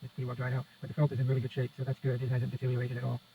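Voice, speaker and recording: Guy talking quick thru a hands-on fix, kinda muttering along, muffled audio w a little noise. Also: mid-range voice, completely flat delivery, vocal fry. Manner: muttering step-by-step commentary to himself and viewer. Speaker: presenter of a radio repair how-to. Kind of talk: casual hands-on demonstration talk. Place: indoors at a repair workbench. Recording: dull lo-fi sound with faint noise.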